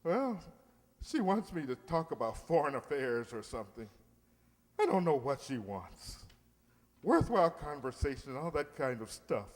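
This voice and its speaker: Un actor haciendo de tío pomposo, voz muy cambiante. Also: male voice, on the high side, big pitch swings, moderate pace, big-room sound. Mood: grumbling, evasive. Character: blustery middle-aged uncle, pompous but soft-hearted. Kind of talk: old-time radio comedy acting.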